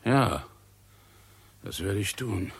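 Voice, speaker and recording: An actor playing a placid, slow-witted village gardener in a 1960s German radio drama, unhurried and unimpressed. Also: male voice, fairly low pitch, animated, vocal fry, husky, dry recording, heavily acted. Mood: puzzled, slow.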